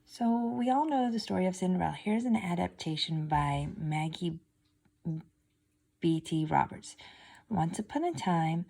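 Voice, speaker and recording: A woman's voice: on the low side, measured pace, gravelly, mellow. A woman teacher reading a story aloud and stopping to explain it simply to students.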